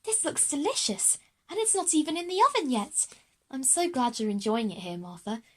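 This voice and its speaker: A woman's voice: high-pitched, sing-song, normal speed. A woman voicing a polite, earnest schoolchild in a children's audio drama. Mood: eager, friendly.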